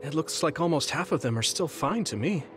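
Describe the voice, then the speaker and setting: A man's voice: fairly high pitch, expressive, fairly quick, music in the background, lightly acted. A man playing a kind, protective young soldier in an anime-style video game, speaking in a friendly, earnest, reassuring way. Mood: concerned.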